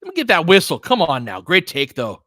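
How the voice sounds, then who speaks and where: Bright-voiced man calling basketball like a fan. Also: fairly high pitch, huge pitch swings, fairly quick, dry recording, clean studio sound. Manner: partisan fan calling plays in short clipped phrases, explaining conversationally. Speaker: host and play-by-play commentator. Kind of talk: live audio basketball commentary with casual tactical analysis.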